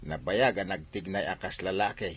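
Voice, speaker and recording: A man playing an older village father in a radio drama, concerned and halting, with conversational exclamations. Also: sits low, a bit bright, slightly rough. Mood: matter-of-fact.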